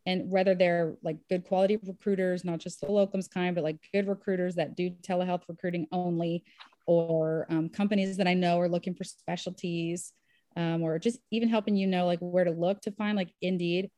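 A woman's voice: on the low side, flat delivery, a little fast, warm tone, no room sound. A woman physician appearing as a podcast guest. She speaks in an upbeat, enthusiastic, conversational way. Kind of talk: casual interview talk. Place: home in Nashville.

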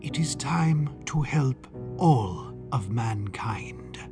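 A man voicing a sinister prince who murmurs softly and quietly. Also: medium pitch, animated, extremely slow, over loud music. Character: sinister soft-spoken male prince.

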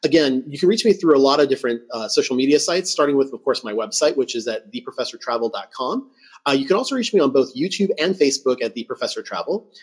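A man hosting a travel podcast and interviewing a guest, upbeat and quick to laugh. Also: medium pitch, animated, slightly rough. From California. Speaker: podcast host. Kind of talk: casual interview.

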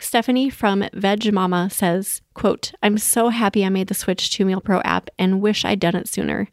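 A woman's voice: medium pitch, dry recording, studio quality. A woman hosting a podcast in an encouraging, affirming manner. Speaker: podcast host. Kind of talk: scripted podcast intro and friendly interview chat.

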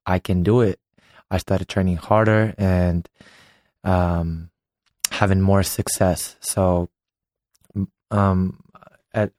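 A man in his late twenties from Ukraine, telling his own story as a former competitive swimmer in a slow, halting way. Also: deep voice, a little mellow, no echo, clean studio sound. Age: late 20s. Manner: unhurried, halting, recounting a memory. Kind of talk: personal storytelling.

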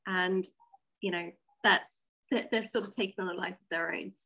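A woman's voice: on the low side, fairly even intonation, moderate pace, vocal fry, sharp tone, muffled recording. A woman interviewed as a writer, explaining her method conversationally, step by step, with rhetorical questions. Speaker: interview guest, audio drama writer.

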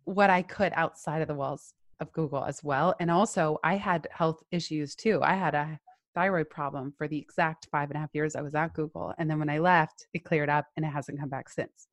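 A woman with a fairly low voice speaks as an interview guest, candid and animated as she shares her own experience. The sound is dry and close, with no room echo. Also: fairly quick. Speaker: guest, self-employed entrepreneur. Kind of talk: casual interview chat.

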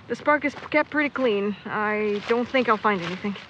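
A woman's voice: mid-range voice, normal speed, fairly bright tone, natural voice. A woman playing a pessimistic, sardonic young searcher in an audio drama, skeptical and natural in delivery. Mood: winded, reflective. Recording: muffled, with clear background noise.

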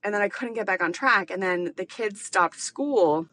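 A woman's voice: medium pitch, normal speed, fairly bright tone, slight background noise. A woman in her late thirties hosting a podcast, talking through a casual solo monologue in a candid, rambling way full of filler words. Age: late 30s. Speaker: podcast host.

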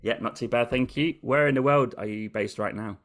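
A man's voice: mid-range voice, a little fast, fairly bright tone. A man hosting a travel podcast, asking short friendly questions in a casual chat. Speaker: podcast host. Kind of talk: casual interview conversation. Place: on a road trip in Quebec.

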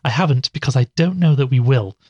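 A man playing a podcast host who investigates mysteries, presenting in a measured, explanatory way in an audio drama. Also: mid-range voice, mellow, husky, dry recording, muffled recording, lightly acted. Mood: relaxed, conversational.

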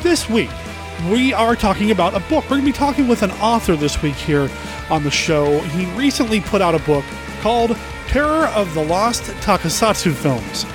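A man hosts a podcast with a high, nasal voice, stuffed up from a head cold. He talks with eager enthusiasm, and music plays clearly behind him. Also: expressive, normal speed. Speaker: podcast host.

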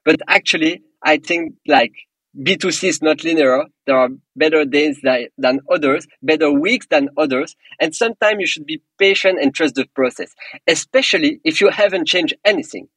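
A man's voice: fairly high pitch, moderate pace, fairly bright tone, husky, no echo, low-quality recording. A man from Belgium with a French accent, a startup co-founder answering podcast interview questions in an earnest, motivational way with small non-native grammar slips.

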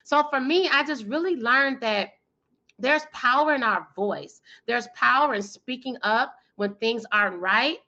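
A woman in her thirties or forties, guest in an interview, talking energetically and emphatically with a loud, powerful voice and frequent laughter. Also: on the high side, measured pace, highs cut off. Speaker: guest, PR firm founder and speaker.

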